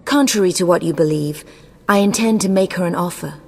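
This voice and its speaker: Haughty low-voiced sorceress in echoing hall. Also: female voice, a little fast, vocal fry. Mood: cold resolve. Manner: haughty, formal, commanding. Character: imperious, ruthless sorceress, cold and haughty. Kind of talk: scripted video game dialogue. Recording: long cavernous reverb.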